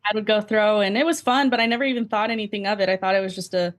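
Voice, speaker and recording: A woman's voice: medium pitch, fairly even intonation, racing. A woman guesting on a podcast, answering questions in an informal, conversational way. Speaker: podcast guest, amateur athlete. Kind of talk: casual interview answers.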